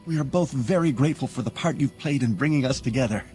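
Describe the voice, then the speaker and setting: A man's voice: medium pitch, quick pace, darkish tone, soft background music, lightly acted. A man playing a humble young elf hunter in a fantasy video game, earnest and polite. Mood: grateful.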